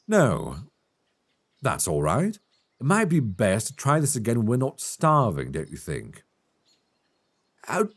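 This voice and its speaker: A man voicing a good-humored, teasing elf hunter in a soft ASMR fantasy roleplay, close to a binaural microphone. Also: lowish voice, heavily acted. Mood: amused teasing. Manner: playful, gently instructing, chuckling often. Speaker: voice actor in audio roleplay.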